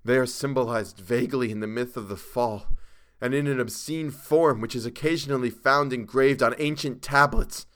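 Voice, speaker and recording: A man voicing a terrified, half-mad character in a horror story, dramatic and drawn-out, swinging between gasps and hysterical cries. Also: medium pitch, steady tone, fairly bright tone, natural voice. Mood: haunted, intense.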